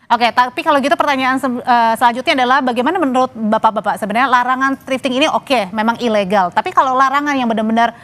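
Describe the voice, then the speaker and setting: Female TV talk show host, higher-pitched, very quick delivery, live panel interview. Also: a bit bright.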